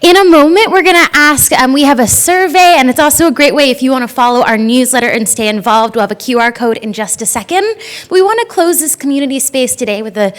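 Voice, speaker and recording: A woman's voice: high voice, fast talker. A woman facilitating a live workshop, speaking to the audience in an informal, encouraging way into a handheld microphone. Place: in-person conference session room.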